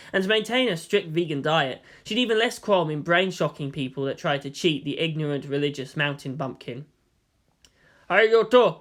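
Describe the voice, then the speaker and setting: A man's voice: a little high, fairly bright tone. A man reading scripted fiction aloud as the narrator.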